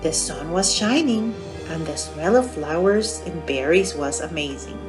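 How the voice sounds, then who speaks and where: Slow, lively woman telling children's stories. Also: deep voice, music in the background, poor audio. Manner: expressive, simple, asking the listeners questions. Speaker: children's library storyteller. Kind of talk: storytime narration for young children.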